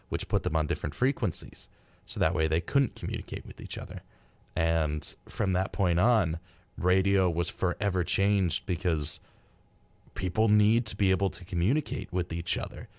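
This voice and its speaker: A man's voice: deep voice, dry recording. A young man hosting a radio show, chatting casually and reading out facts in an informal, wry way. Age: young adult.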